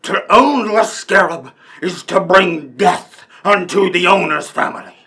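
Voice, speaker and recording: A cantankerous old colonel in a radio play. His high voice is hard-edged and full of gravel, and he blusters in an old-fashioned, short-tempered way. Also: unhurried, pushed and bright, heavily acted. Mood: ominous, indignant. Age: older. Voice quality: gravelly, rough.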